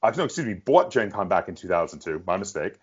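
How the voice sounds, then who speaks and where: A man's voice: sits low, very animated, fast, husky. A middle-aged man hosting a casual interview, talking as a fan of the subject. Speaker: interviewer, podcast host. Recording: dull lo-fi sound.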